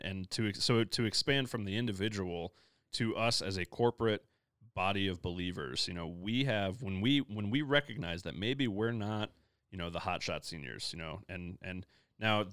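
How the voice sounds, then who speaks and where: A man's voice: low voice, a bit bright, dry recording, studio quality. A man from the Midwest leading a casual church panel discussion, rambling with many fillers and joking asides. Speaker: pastor, discussion moderator.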